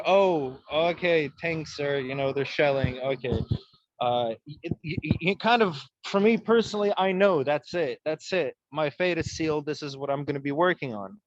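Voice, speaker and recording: Young guy from ukraine, russian first language, lots of ums and uhs, slightly high voice, muffled dry remote audio. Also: normal speed, dry recording. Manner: hesitant, many fillers and restarts. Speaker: guest. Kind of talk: casual interview answers.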